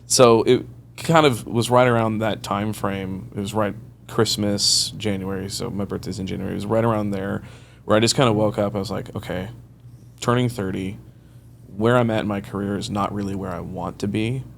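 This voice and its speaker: A man's voice: lowish voice, steady tone, normal speed, vocal fry, slight background noise. A man in his early thirties, a loan officer, telling his career story in a casual interview, acting out quoted conversations. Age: 30s. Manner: rambling storyteller, acts out quoted dialogue, laughs often. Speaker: guest, mortgage loan officer.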